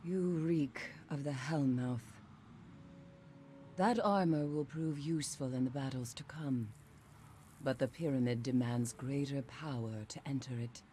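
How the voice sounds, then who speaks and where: A grim, haunted female warrior mystic from a video game. She speaks very slowly in solemn, formal phrases that sound ominous. There is ambient noise behind her. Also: a bass voice, warm tone. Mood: solemn urgency. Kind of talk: dramatic video game acting.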